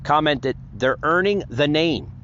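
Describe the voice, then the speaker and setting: Male American podcast host, casual and rambling, very lively pitch swings, slightly muffled lo-fi audio. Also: a little slow, a little hiss or hum. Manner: rambling and repetitive, addressing listeners as guys. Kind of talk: casual unscripted podcast monologue, plugging pages and thanking listeners.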